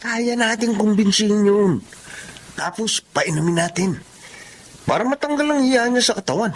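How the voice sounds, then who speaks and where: A woman's voice: mid-range voice, normal speed, slight background noise, close to an everyday voice. A woman acting a sly, mocking teenage student in a Filipino radio drama, teasing and persuasive, with a Filipino accent. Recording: music behind the dialogue.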